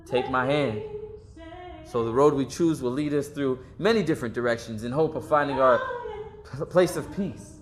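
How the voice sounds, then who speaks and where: A man's voice: medium pitch, moderate pace, a little hiss or hum, natural voice. An actor playing a young man who earnestly reflects on his path in life, speaking a long stage monologue. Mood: reflective. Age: young adult. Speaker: lead actor in a stage play.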